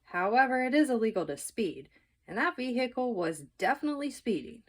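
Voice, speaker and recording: A woman's voice: a little high, very animated, pitched above the performer's own voice. A woman voicing a male police officer who reasons earnestly and matter-of-factly with himself in a children's storybook. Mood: musing. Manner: deliberate, matter-of-fact reasoning.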